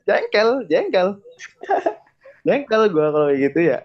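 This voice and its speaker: A man's voice: high-pitched, huge pitch swings, unhurried. A young man, a podcast guest, explaining in casual slang and chuckling often. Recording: dull lo-fi podcast recording.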